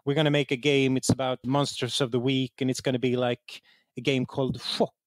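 A man from southern Sweden, a native Swedish speaker with a southern Swedish accent, talking casually and haltingly as an interview guest. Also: mid-range voice, level tone, no echo, clean studio sound. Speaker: interview guest, game writer.

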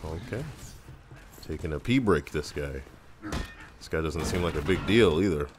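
Very deep-voiced sardonic gamer man. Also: expressive, normal speed, noisy background. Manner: sardonic, muttering complaints, profane. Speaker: gameplay commentator. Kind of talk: casual gaming commentary. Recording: game music behind the voice. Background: video game music.

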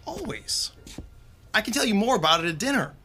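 A man's voice: sits high, very animated, fairly quick, bright, forceful tone, soft background music, heavily acted. A man acting a friendly, chatty theatre techie telling anecdotes in an audio drama. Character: Rick, chatty friendly young male theatre techie storyteller.

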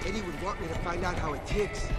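An actor playing an excitable, wisecracking young survivor in a video game, flippant and lively. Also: male voice, sits high, fast talker, over loud music, close to an everyday voice.

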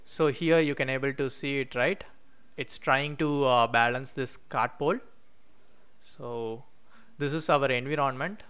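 Man, coding tutorial voice-over, smooth clear tone, keyboard clicks behind, step-by-step explaining. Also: medium pitch, fairly bright tone. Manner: explains step by step while typing code, many "uh" pauses. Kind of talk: screen-recorded coding walkthrough. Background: keyboard typing.

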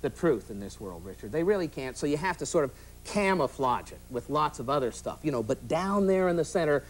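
A man's voice: on the high side, sing-song, brisk. A man in his fifties, a radio host guesting on a TV talk show, talking in a dry, self-mocking joking way into a lapel mic in a studio. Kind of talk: casual TV talk show chat. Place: TV studio set with audience.

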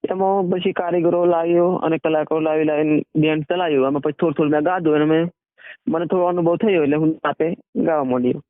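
A man's voice: fairly high pitch, fairly even intonation, fast, dry recording. A man, a singer and band owner talking casually in a phone interview. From Gujarat, India. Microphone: phone call. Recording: tinny narrowband phone line.

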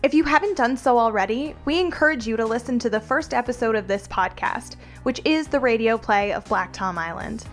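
A woman's voice: fairly high pitch, animated, a little fast, few pauses, soft background music. An American woman reading scripted podcast narration as its host. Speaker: producing director, podcast host.

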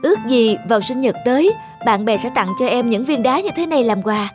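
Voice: mid-range voice, expressive, a little fast, faint music behind, natural voice. A performer voicing an enthusiastic little boy in a cartoon, with an eager, earnest, childlike manner. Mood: hopeful. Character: enthusiastic little boy who collects stones. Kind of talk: Vietnamese cartoon voice acting.

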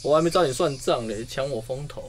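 A man's voice: mid-range voice, quick pace, lightly acted. An actor playing a cocky, mocking young male schoolmate in a radio drama. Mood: scornful. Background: music.

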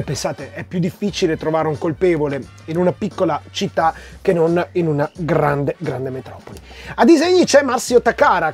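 A man's voice: high-pitched, a little mellow, slightly rough. A man in his forties presenting a comic review in a chatty, conversational way, addressing viewers directly. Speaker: comic book reviewer, video host. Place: small room with shelves of comics, indoors. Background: background music.

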